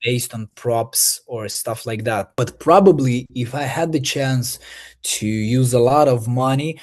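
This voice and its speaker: Young man, mid pitch, halting answers, frequent uhs. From Moldova. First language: Romanian. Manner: hesitant, many fillers and restarts. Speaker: Eurovision artist. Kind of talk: interview answers.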